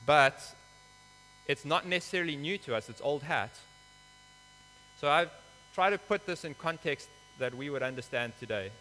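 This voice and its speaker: A man's voice: a little high, expressive, normal speed, pauses often, bright, forceful tone. A man from Africa, preaching a sermon in a church in an earnest, explanatory way with some hesitant fillers. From South Africa. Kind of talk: sermon with scripture reading. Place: church hall. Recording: reverberant hall with mains hum.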